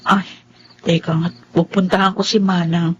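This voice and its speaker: An actress playing a gentle, devoted blind young wife in a radio drama, speaking tenderly and pleadingly. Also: female voice, lowish voice, little pitch movement, normal speed, dull audio, slight background noise, close to an everyday voice. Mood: sad, resigned. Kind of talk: radio drama acting with first-person narration.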